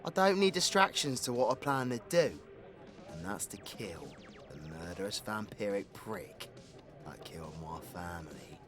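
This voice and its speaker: A man's voice: mid-range voice, fairly bright tone. A British man playing a brash, foul-mouthed supervillain with a teasing, slangy manner in an audio roleplay. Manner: slangy, cocky, swearing. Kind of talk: villain audio roleplay, spoken to the listener.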